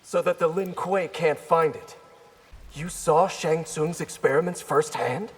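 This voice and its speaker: Husky, higher-voiced brash fighter. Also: male voice, a little slow. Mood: incredulous. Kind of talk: video game fight banter. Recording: long hall reverb.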